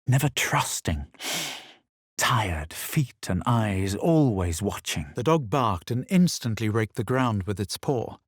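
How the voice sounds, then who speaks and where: A man voicing a smug, self-assured adventurer hero in a fantasy video game, with a husky voice and a measured, dramatic delivery. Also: on the low side, big pitch swings, no echo, clean studio sound, full character voice. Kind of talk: video game voice lines, narration and spell calls.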